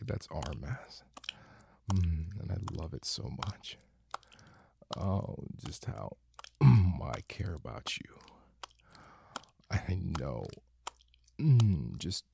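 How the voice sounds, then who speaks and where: Slow, gravelly, low-voiced man. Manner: slow, breathy, with moans and whispers. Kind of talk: intimate audio roleplay. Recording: clear background noise with clicking.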